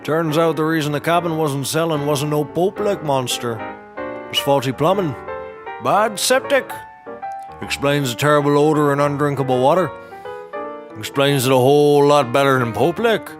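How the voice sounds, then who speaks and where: An actor playing an eccentric, deadpan office hand in a comedy audio drama, telling things in a folksy, drawn-out way. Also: male voice, fairly high pitch, music in the background, natural voice. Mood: matter-of-fact.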